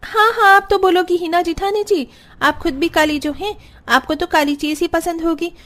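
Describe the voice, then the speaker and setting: A woman's voice: very high voice, normal speed, a bit bright. A woman voicing a spiteful, haughty young sister-in-law in a cartoon story, bossy and scornful. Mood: angry, scornful. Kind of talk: Hindi cartoon story dialogue.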